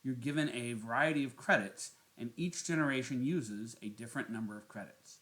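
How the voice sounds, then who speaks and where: A man narrating a step-by-step software tutorial as its host. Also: medium pitch, brisk, rough recording.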